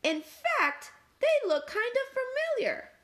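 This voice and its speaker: A woman's voice: extremely high pitch, very animated, takes it slowly, pushed and bright, voice pushed up, heavily acted. A woman voicing a rambling, chatty talking fork in a picture book.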